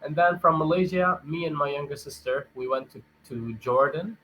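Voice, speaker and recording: A young man with a bright, forceful voice chats easily on a video call, pausing often with little fillers. Born in Thailand and raised in Canada, he talks like a friendly English tutor. Faint room sound hums behind him. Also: on the high side, slight background noise, low-quality recording. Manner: friendly, hesitant with fillers, laughs softly. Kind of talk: casual video-call chat. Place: home in a big city.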